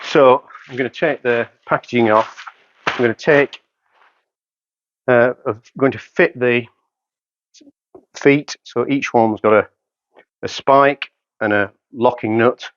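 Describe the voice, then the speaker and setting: A man's voice: medium pitch, slow pace, pauses often, no echo, dull audio. A man in his fifties giving a matter-of-fact, step-by-step product demonstration in short phrases while he works. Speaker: hi-fi dealer presenter. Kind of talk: hands-on product setup demonstration, talking while working. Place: carpeted indoor showroom room.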